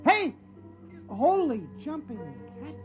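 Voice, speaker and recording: A man's voice: very high voice, sing-song, takes it slowly, heavily acted. An actor playing a timid, easily bewildered young man in a 1950s radio drama, hesitant and polite. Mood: puzzled, astonished. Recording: vintage radio recording with music.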